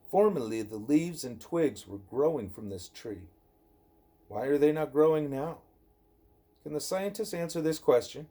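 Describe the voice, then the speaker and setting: A man reading a scripted spiritual dialogue as an audiobook, in a measured way with emphasis on rhetorical questions. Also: medium pitch, warm tone. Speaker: audiobook reader.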